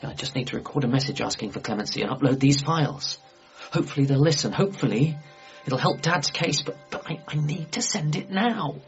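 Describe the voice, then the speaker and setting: An anxious, earnest British son played by a man in a radio comedy drama. He is eccentric and hesitant, stumbling into his sentences. The sound is a little dull, with music clearly behind him. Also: mid-range voice, animated, a little fast, close to an everyday voice. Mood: nervous, earnest. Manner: hesitant, earnest, stammering starts. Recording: slightly muffled, music behind.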